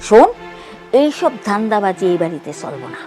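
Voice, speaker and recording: A woman's voice: medium pitch, very animated, a little fast, over music, close to an everyday voice. An actress playing an abusive, scornful middle-aged village mother-in-law in a TV drama, with a Bangladeshi accent and a sneering, accusatory way of talking. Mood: scornful, furious. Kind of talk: melodramatic TV drama acting.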